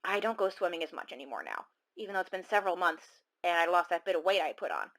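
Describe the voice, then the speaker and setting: A woman's voice: fairly low pitch, sing-song, fast, fairly bright tone. Woman acting a shaken female horror-story caller. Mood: haunted. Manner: dramatic, suspenseful, confiding. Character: shaken young woman caller recounting a monster encounter. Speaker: caller telling her story. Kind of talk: first-person horror storytelling, radio show audio drama.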